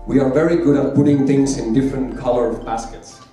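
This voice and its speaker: A man from Finland gives an emphatic business presentation, driving home his figures by repeating them. His voice is mellow and dark-toned, heard from a distance in a very echoey hall with faint music behind. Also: medium pitch, steady tone, fairly quick. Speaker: conference presenter. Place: large conference hall.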